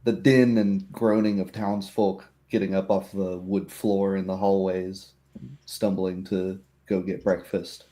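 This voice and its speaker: Slow, mellow male game master. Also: deep voice, little pitch movement. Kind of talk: narrating a tabletop roleplaying game.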